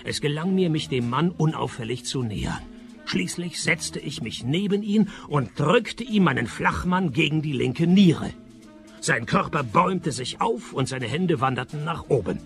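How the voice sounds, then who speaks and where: An actor playing a sardonic, down-at-heel private detective who narrates and talks in a deadpan manner in a radio play. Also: male voice, a little high, measured pace, vocal fry, faint music behind, heavily acted.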